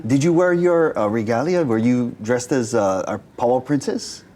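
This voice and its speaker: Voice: medium pitch, normal speed, darkish tone, slight background noise. A TV show host opening the segment and asking questions with a bubbly, playful, laughing manner. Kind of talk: TV show hosting.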